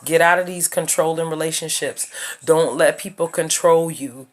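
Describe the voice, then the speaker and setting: A woman's voice: sits low, fairly even intonation, moderate pace. A woman in her thirties talking straight to her viewers in a casual advice video, emphatic and preachy, repeating her points. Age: 30s. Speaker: YouTuber giving life advice.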